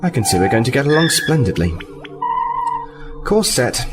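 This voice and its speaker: Diplomatic lieutenant male actor, animated intonation, composed and explanatory, music behind, sci-fi audio drama. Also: medium pitch, moderate pace, close to an everyday voice. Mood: composed, cordial. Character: earnest, diplomatic male starfleet lieutenant, liaison officer.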